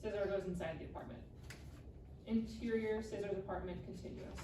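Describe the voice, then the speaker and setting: A woman's voice: medium pitch, fairly quick. A woman reading scripted scene directions aloud in clipped phrases at a live table read. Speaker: stage-direction reader. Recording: echoey room, distant mic, background noise.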